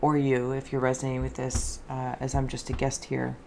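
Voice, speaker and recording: Very deep-voiced, creaky woman. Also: monotone, a little fast, a little mellow, slight background noise. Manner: dreamy, meandering, alliterative phrasing. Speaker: host, tarot and scrying reader. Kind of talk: soft-spoken spiritual reading, improvised narration.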